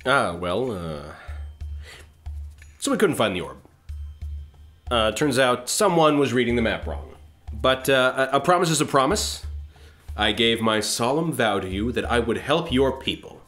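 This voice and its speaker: An actor playing a brash, self-satisfied starship captain in a comedic science-fiction radio play, glib and pompous. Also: male voice, mid-range voice, very animated, unhurried, pauses often, fairly bright tone, faint music behind. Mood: sheepish, pompous.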